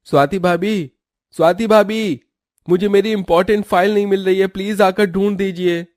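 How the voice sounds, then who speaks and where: A male actor voices a young brother-in-law in a family cartoon. He sounds high for a man, polite and easily flustered, with a pleading way of talking. The sound is close and dry. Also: steady tone, normal speed, no room sound, natural voice. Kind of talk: Hindi cartoon story acting.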